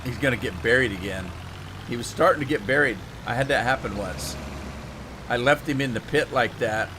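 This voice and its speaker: A man's voice: medium pitch, normal speed. A man chats through a live stream of a farming game in a rambling, homespun manner, often stopping and restarting his thoughts. His voice is a little worn, as if he is losing it. A faint noise sits under the desk-mic recording. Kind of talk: casual live-stream chat while playing.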